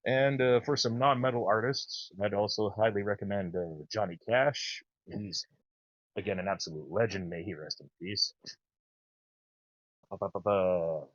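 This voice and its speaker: A man in his thirties with a naturally low voice, chatting casually and enthusiastically as a podcast host. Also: measured pace, no room sound, dull audio. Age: 30s. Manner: rambling, enthusiastic. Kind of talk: casual chat.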